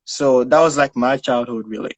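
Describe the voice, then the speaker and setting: A man's voice: mid-range voice, normal speed, no room sound. A young man from Haiti with a Haitian accent, telling personal stories casually and often repeating words as he searches for the next one. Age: young adult. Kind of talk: casual conversational storytelling.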